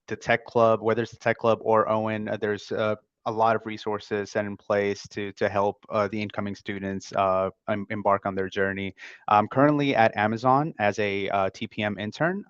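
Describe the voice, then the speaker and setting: Smooth low man on dull dry call audio. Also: dry recording. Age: young adult. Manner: halting, many fillers. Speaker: MBA student panelist. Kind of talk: casual panel introduction.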